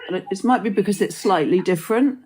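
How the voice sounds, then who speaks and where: A woman's voice: medium pitch, fast talker, a little mellow, slightly rough. An Englishwoman hosting a poetry podcast, musing aloud in long, thoughtful sentences. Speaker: podcast host. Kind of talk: conversational interview.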